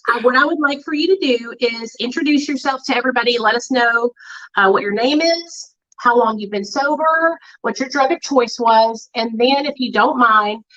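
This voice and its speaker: A woman hosts a casual livestream with a bright, fairly high voice. She talks in an excited, chatty way and fills her speech with "like" and "um". The audio is muffled and lo-fi. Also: normal speed. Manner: chatty and excited, with filler words. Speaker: livestream host.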